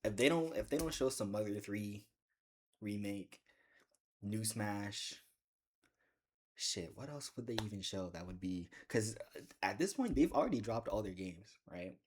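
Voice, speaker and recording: A man with a deep voice chatting casually in a gaming vlog, rambling and joking with bursts of excitement. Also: measured pace, pauses often, slight background noise. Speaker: gaming video creator.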